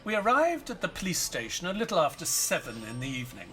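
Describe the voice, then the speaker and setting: An actor playing a loyal, doubtful, long-suffering male companion and narrator in an audio play, measured and literate. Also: high-pitched, wildly swooping pitch, pushed and bright, exaggerated voice. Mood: measured, storytelling. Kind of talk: audio play acting and first-person narration.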